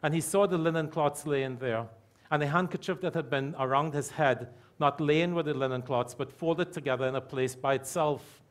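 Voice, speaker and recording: Middle-aged male pastor preaching from the pulpit. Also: on the high side. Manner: emphatic, repeats key phrases slowly. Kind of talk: sermon. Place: church hall with stage curtains.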